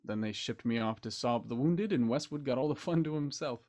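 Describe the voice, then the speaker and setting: A man's voice: mid-range voice, brisk, a little mellow, lightly acted. Male actor as Victorian doctor and veteran for radio mystery: mid-range voice, nostalgic, old-fashioned turns of phrase. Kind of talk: radio play acting over video call.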